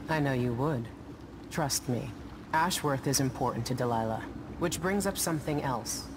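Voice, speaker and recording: A woman's voice: rumbling low, slight background noise. An actress playing a guarded, secretive woman ship captain in a video game, talking in terse, matter-of-fact phrases.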